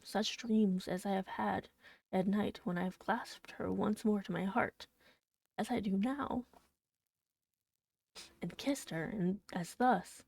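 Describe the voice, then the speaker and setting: Storybook role: tormented blind gentleman, read by a woman, imperious and impassioned, mellow with a gravelly edge, close dry sound. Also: medium pitch, no echo.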